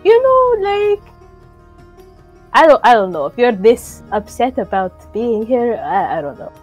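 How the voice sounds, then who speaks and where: A woman voicing a kind, awkward, concerned female character in a tabletop game, speaking gently and hesitantly. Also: fairly high pitch, big pitch swings, a little slow, soft background music. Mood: comforting.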